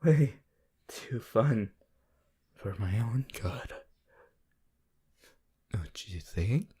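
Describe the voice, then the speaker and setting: Slow, breathy, possessive yandere lover, acted out. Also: medium pitch, lively intonation, heavily acted. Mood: gloating, smitten. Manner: slow, drawn-out, intimate, swelling from whispers to loud outbursts. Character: possessive, unhinged yandere lover, swinging between tender and manic. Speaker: voice actor. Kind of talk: ASMR roleplay acting.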